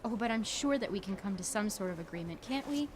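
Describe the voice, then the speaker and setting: A woman's voice: medium pitch, expressive, fast talker, slight background noise, lightly acted. Woman playing a sweet-talking, coaxing young granddaughter. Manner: coaxing, polite. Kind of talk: audio drama acting.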